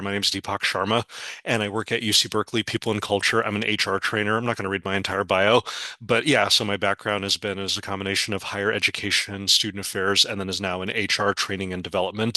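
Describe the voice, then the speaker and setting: A man's voice: on the low side, bright, forceful tone, no room sound. A man moderating a webinar session over a video call, rambling with many fillers and apologetic slips as he runs through housekeeping and introduces the speaker.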